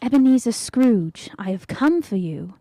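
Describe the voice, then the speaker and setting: A woman playing a calm, ageless spirit in a radio play, speaking formally and solemnly. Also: on the high side, unhurried, dry recording. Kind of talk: old-time radio play acting.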